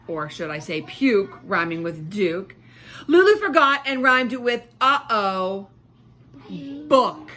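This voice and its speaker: A woman teacher reading a storybook aloud to children with expressive emphasis, pausing to explain and ask questions. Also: fairly high pitch.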